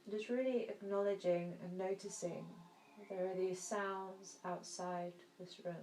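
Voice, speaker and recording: A woman teaching yoga nidra, guiding a relaxation meditation in a soft, soothing, gentle manner with long pauses. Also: mid-range voice, fairly even intonation. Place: indoor room. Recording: roomy echo, faint background noise.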